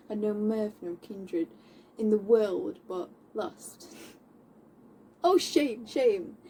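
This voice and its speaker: A young woman playing a defiant, scornful tomboy of seventeenth-century London in a classical stage monologue, declaiming indignantly with dramatic pauses. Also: medium pitch, warm tone. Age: young adult. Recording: distant mic with faint background noise.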